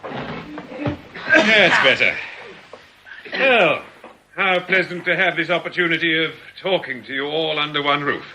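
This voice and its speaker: An actor playing a genial, piously courteous English boss of ex-convict swindlers in a radio drama, suave and measured. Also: male voice, a little high, animated, bright, forceful tone, full character voice. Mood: grandly genial. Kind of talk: British radio drama acting. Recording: faintly noisy radio drama recording.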